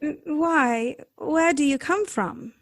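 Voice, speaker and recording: A woman's voice: sits high, takes it slowly, dry recording, clean studio sound. An actress playing an earnest, tender-hearted and naive young lady in an old-time radio drama, questioning and emotive in her delivery. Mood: polite, puzzled. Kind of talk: live recreation of 1930s radio drama.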